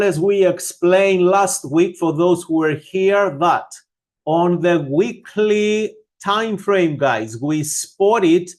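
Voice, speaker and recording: Slow-talking Greek-accented man. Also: high voice, a little mellow. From Greece. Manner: unhurried, many fillers, addresses viewers as guys. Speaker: forex analyst hosting a live stream. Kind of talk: live-stream market analysis with viewer questions.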